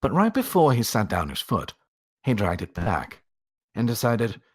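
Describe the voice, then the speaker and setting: A man reading a story aloud as a theatrical narrator, drawing out words for emphasis and pausing for drama. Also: fairly low pitch, huge pitch swings, slightly rough.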